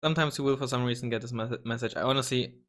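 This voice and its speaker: Slightly hoarse, rambling young man. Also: mid-range voice, fairly even intonation, rapid-fire, a bit bright. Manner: rambling, many ums and restarts, casual swearing. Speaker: gaming channel host. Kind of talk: casual screen-recorded tutorial.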